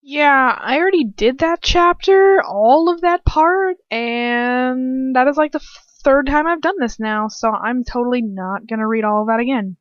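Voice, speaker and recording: A woman's voice: high-pitched, a little slow, hardly any pauses, no echo. A woman reads a story aloud like an amateur at home. Her delivery is casual and stumbling, slipping into self-deprecating chat. The sound is dull and lo-fi, dry with little room around it. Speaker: amateur fan fiction reader. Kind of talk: casual read-aloud with chatty asides.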